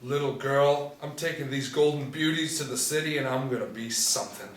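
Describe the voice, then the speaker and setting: A man's voice: medium pitch, fairly even intonation, fairly quick, slight background noise, lightly acted. A man playing a cocky, wild young gang leader in a screenplay table read, boastful and taunting. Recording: echoey room, distant from mic.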